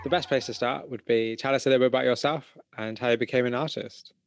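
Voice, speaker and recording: A man's voice: medium pitch, fairly even intonation, fast, noisy background. A man hosting an art podcast and interview, talking in an encouraging, affirming way. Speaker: host, interviewer. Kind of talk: podcast intro and casual interview.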